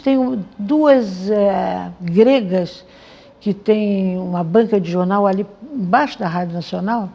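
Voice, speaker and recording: A woman's voice: mid-range voice, slow, vocal fry, warm tone. A woman recalling memories with conviction in a documentary interview. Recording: dull lo-fi recording.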